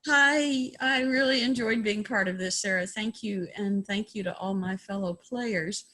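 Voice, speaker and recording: Slightly husky woman in casual discussion. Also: medium pitch, unhurried, fairly bright tone. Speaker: discussion participant. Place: video call.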